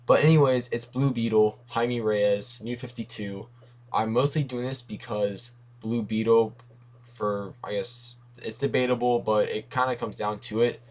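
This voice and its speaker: A man's voice: lowish voice, fairly even intonation, a little slow. Young man with a worn, fading voice. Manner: rambling, self-correcting, lots of asides. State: losing his voice. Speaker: comics video host. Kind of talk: casual rambling commentary.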